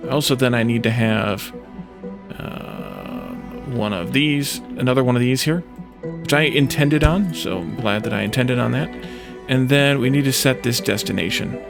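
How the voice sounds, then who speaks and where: Man thinking aloud, with a gravelly creak. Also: medium pitch, sing-song, normal speed, music in the background. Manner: hesitant, muttering to self in short phrases. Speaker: let's play host. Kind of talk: gaming commentary, thinking aloud while playing.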